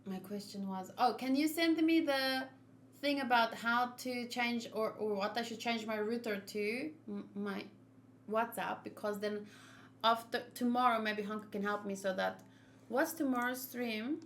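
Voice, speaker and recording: A woman's voice: fairly high pitch, unhurried, slight background noise. A woman livestreaming a video game, chatting casually and thinking aloud as she switches between her viewers and the game. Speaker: game streamer. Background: video game music.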